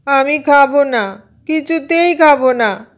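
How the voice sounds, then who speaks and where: A woman's voice: high voice, animated, slow, pitched above the performer's own voice. A woman voicing a stubborn little boy who whines and protests, in a storybook reading. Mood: whining protest. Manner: whiny, protesting.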